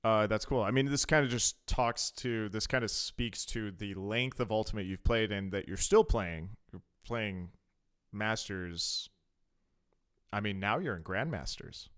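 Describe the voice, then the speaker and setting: Low-voiced male podcast interviewer. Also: unhurried, a bit bright, smooth. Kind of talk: casual chatty interview.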